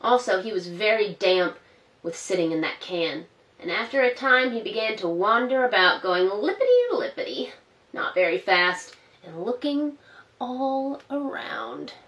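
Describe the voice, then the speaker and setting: A woman school librarian reading a picture book aloud to children, gentle and expressive, pausing now and then to ask them questions. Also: medium pitch, far from the mic. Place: indoor room, recorded for an online story time. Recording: roomy, a little off-mic.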